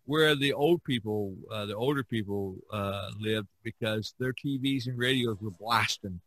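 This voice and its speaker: Bright-voiced man chatting on a podcast. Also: lowish voice, no room sound, rough recording. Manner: halting, repeats words while searching for phrasing. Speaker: podcast host. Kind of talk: casual podcast chat.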